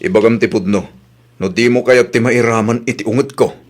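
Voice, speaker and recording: A man's voice: medium pitch, fairly even intonation, brisk, close to an everyday voice. A man with an Ilocano accent playing an angry, exasperated husband in a comedy radio drama. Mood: stern, accusing. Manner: scolding, accusing, exasperated. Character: angry husband whose savings were spent.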